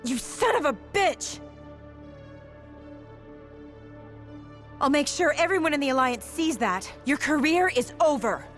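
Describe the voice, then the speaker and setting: A woman's voice: high-pitched, expressive, normal speed, soft background music. An actress playing a pushy female TV news reporter in a video game, with a polished, needling interview manner. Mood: outraged. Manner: polished, leading questions. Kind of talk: acted game dialogue, broadcast interview.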